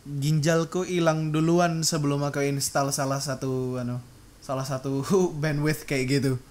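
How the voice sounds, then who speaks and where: A man's voice: on the high side, little pitch movement, moderate pace, a little mellow. A young man chatting casually as a podcast host, slangy and joking, on a noisy recording. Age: young adult. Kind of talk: casual chat.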